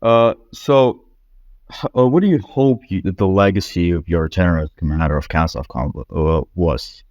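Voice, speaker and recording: A man asking informal interview questions in a hesitant, halting way, often stumbling over his phrasing. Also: deep voice, darkish tone, no echo, dull audio.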